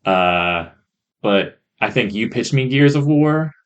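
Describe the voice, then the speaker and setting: A man co-hosting a podcast in casual, opinionated chat, halting as he talks, on a rough, faulty microphone. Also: mid-range voice, lively intonation, lo-fi sound. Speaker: podcast co-host.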